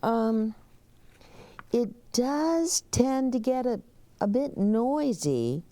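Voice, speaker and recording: A woman's voice: fairly high pitch, animated, drawn-out words, warm tone, no room sound, voice pushed up. A woman voicing a nervous, apologetic hotel bellhop in a children's storybook, hesitant and stammering. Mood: anxious warning. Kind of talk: children's storybook read-aloud.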